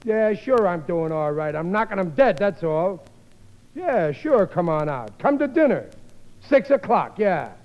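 An actor playing a blustering, jealous middle-aged working-class husband in a 1950s radio comedy. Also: male voice, sits high, big pitch swings, measured pace. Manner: exasperated, ungrammatical, blustering. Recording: muffled, noisy old radio broadcast, echoey hall.

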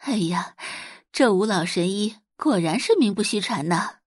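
Lady doing a caring mom, emotional and protective, lively slightly husky voice, audio drama. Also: mid-range voice, a little slow, no echo, clean studio sound. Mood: grateful. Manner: soothing, emotional. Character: devoted middle-aged mother, protective and tender.

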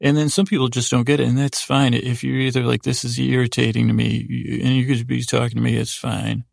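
Man, podcast host, gravelly fry, dry close recording, rambling improvised bedtime monologue, halting, self-deprecating. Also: medium pitch, little pitch movement, a little mellow, no echo.

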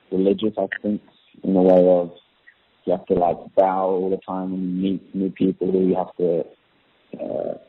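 A young man, a professional footballer, answering interview questions in a relaxed, hesitant way with many ums. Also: low-pitched, husky. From Australia. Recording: tinny phone-line audio.

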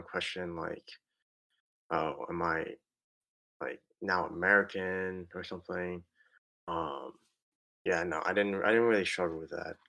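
A man who is a guest in a casual interview, answering in a hesitant, halting way. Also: sits low, gravelly, sharp tone. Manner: hesitant, halting, with many "um" and restarts. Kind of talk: casual conversation.

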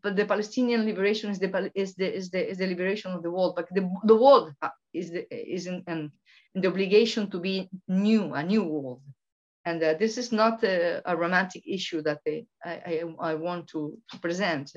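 Woman, from Venezuela, emphatic, halting, lo-fi dull recording. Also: lowish voice, a little mellow. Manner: halting, many restarts and repetitions, emphatic. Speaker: guest, political researcher. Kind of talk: impassioned interview talk. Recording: dull lo-fi sound.

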